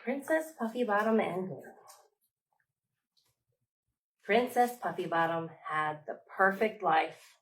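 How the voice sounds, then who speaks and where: A woman leading a storytime for young children, reading aloud expressively with dramatic pauses, like a children's librarian. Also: mid-range voice, expressive, a little hiss or hum, rough recording.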